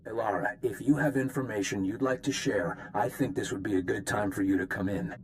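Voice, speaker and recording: An actor playing a weary, brusque military bureaucrat in a video game, offhand and impatient, over background ambience. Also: male voice, on the low side, a little fast, a little hiss or hum, close to an everyday voice. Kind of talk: video game dialogue acting.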